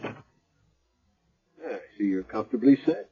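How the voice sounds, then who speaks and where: Deep, husky-voiced calm chaplain. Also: male voice, slow pace, full character voice. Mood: calm, kindly. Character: male hospital chaplain, calm, probing, kindly investigator. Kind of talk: 1970s radio drama acting. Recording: dull vintage radio recording.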